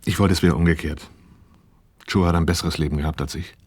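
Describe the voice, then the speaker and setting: A man's voice: sits low, steady tone, a little fast, breathy edge, dry recording. An actor playing a troubled, dutiful working man in a radio play, recounting his life to his therapist in a matter-of-fact, reflective way. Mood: resigned bitterness. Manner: matter-of-fact, reflective, acting out remembered dialogue. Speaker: protagonist and first-person narrator of a radio play. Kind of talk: 1980s German radio play acting, confessional monologue.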